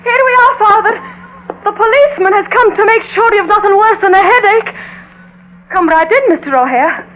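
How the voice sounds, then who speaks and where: An actress playing a sharp-tongued, evasive young woman in a 1950s radio drama. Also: extremely high pitch, moderate pace. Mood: teasing, nervous. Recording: muffled, noisy old radio broadcast.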